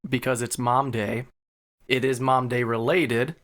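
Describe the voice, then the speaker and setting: A man's voice: mid-range voice, level tone, unhurried, a bit bright. A man hosting a podcast in casual comedic banter, joking, playfully bossy and free with swearing. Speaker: podcast host.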